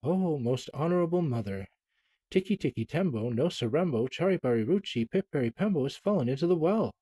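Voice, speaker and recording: A man's voice: fairly high pitch, lively intonation, a little fast, warm tone, husky, no echo, voice pushed up. A man voicing a breathless small boy who pleads urgently, panting and strained, in a storybook read-aloud. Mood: urgent pleading.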